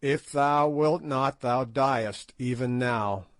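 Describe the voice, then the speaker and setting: A man reading aloud and voicing a stern king who speaks slowly and with command. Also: mid-range voice, dry recording, close to an everyday voice. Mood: threatening. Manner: slow, stern, measured.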